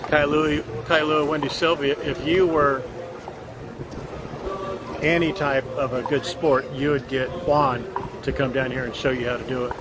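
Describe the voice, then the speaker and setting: A man walks along a beach and city streets, joking with the viewers of his live stream and teasing them by name. His voice sits at an average pitch and comes through a handheld phone. Loud traffic and water noise run under everything. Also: little pitch movement, measured pace. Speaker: livestream host. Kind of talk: casual live-stream chat while walking.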